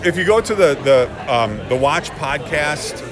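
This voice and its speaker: A man's voice: on the high side, lively intonation, a little slow, crowd noise. A man giving a casual, enthusiastic interview as an audio drama creator, with some joking. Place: busy public venue at a convention. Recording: busy venue with background music. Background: music.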